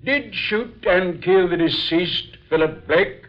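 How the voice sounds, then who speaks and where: An actor playing a judge formally reading a verdict in an old-time radio drama. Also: male voice, high voice. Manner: measured, formal courtroom delivery. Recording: dull lo-fi old radio recording.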